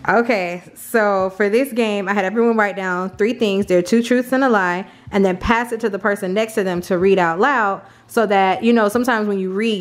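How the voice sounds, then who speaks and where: A woman in her thirties hosting a family vlog, chatting casually and laughing often, filmed in her home kitchen. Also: mid-range voice. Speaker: vlog host. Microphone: handheld camera at arm's length.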